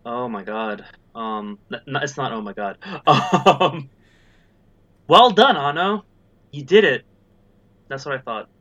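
Bright-voiced rambling man, lo-fi sound. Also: mid-range voice, animated, takes it slowly, pauses often. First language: not Japanese. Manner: rambling, filler-heavy, laughs often. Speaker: podcast guest. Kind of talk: casual chat. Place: in Japan.

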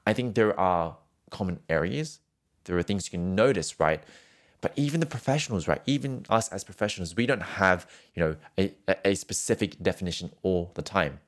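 Deep-voiced man coaching singers. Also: expressive, a little fast. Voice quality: rich, low voice. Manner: earnest, repetitive, keeps adding "right?". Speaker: singing teacher. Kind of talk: casual coaching talk to camera.

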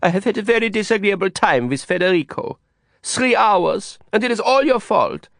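A wide-eyed, naive young woman voiced by a male reader pouts and whines in a thin voice pitched far above his own. It sounds innocent and sulky, and the recording is dry and close. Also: expressive, normal speed, creaky, a bit bright, no echo, exaggerated voice. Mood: pouting. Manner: pouty, whiny.

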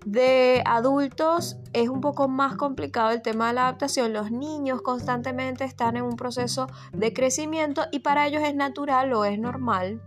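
A woman from Venezuela with a Venezuelan accent, telling a personal story in a reflective way, pausing and repeating words as she thinks. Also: high-pitched, fairly even intonation. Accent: Venezuelan Spanish. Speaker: vlogger. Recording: guitar music underneath.

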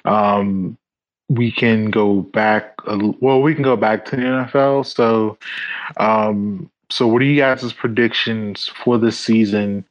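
A man's voice: lowish voice, steady tone, slow. A man co-hosting a casual sports discussion and putting questions to the panel, on lo-fi audio. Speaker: sports talk co-host. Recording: dull lo-fi sound.

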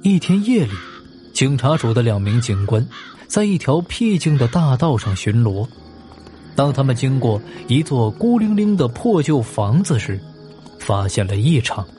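A man's voice: medium pitch, sing-song, unhurried, mellow, faint music behind. A man narrating a mystery story in a dramatic, scripted audio drama style.

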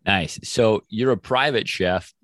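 This is a male podcast host from the Midwest, talking in a casual, rambling way and often restarting his sentences. His voice sits very low, and the recording is dry and close. He sounds relaxed as he chats with his guest. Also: slow, no echo. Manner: chatty, rambling questions with restarts. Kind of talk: casual interview chat.

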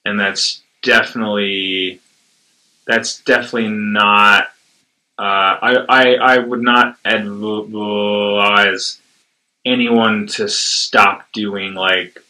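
Slow, bright-voiced man who stutters. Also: fairly low pitch, flat delivery, poor audio. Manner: stutters, with sound blocks and repeats; hesitant, with many fillers. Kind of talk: casual conversation.

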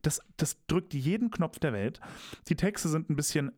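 Young male co-host, rambling and teasing, raised pitch, dry sound. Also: lively intonation, moderate pace, a little mellow, no echo. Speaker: podcast co-host. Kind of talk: casual chat.

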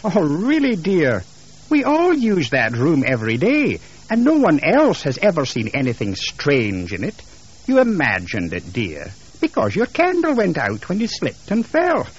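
A man's voice: fairly high pitch, huge pitch swings, a little slow, slightly rough, muffled recording, voice pushed up, full character voice. A man voicing a skeptical, dismissive wife and mother with a condescending, sighing manner in a narrated story. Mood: patronizing disbelief. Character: skeptical, patient, dismissive middle-class English wife and mother.

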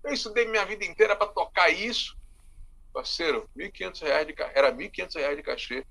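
A man with a Salvador accent, a musician telling stories in a casual interview in an animated, talkative way. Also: fairly high pitch, fast, gravelly. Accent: Salvador, Bahia. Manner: animated, often says "velho". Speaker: musician and bandleader.

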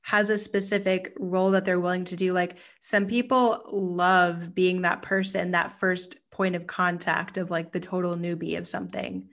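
A woman's voice: on the low side, fairly even intonation, moderate pace, few pauses, fairly bright tone. A woman hosting a podcast interview, chatting casually and backing up her guest with frequent "yeah" and "mm-hmm". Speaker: podcast host.

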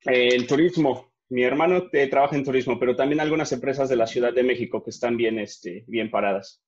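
A man's voice: medium pitch, a little fast. A man with a Mexican accent hosting a casual podcast over a video call, friendly and asking questions. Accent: Mexican Spanish. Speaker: podcast host. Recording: slightly dull lo-fi sound.

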